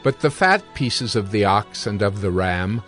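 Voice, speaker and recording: A man giving scripted scripture narration in a measured, solemn manner. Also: fairly low pitch, expressive, a bit bright. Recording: background music under narration.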